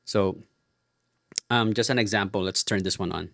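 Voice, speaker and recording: A middle-aged Filipino man giving a casual explanatory product talk, speaking politely and haltingly and slipping between English and Tagalog. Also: on the low side, steady tone, creaky, fairly bright tone, no echo. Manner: hesitant, polite, switches between two languages mid-sentence. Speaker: presenter explaining radio gear.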